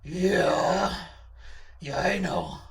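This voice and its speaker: A woman voicing a menacing, suspicious male talking lump in a comedy monologue, accusing and forceful. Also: low voice, sing-song, extremely slow, creaky, far from the mic, pitched below the performer's own voice, full character voice. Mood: aggrieved.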